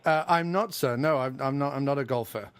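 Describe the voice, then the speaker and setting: A man's voice: medium pitch, brisk, clean tone, no echo. A man from England with an English accent, hosting a podcast interview, polite and eager toward his guest. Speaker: podcast host.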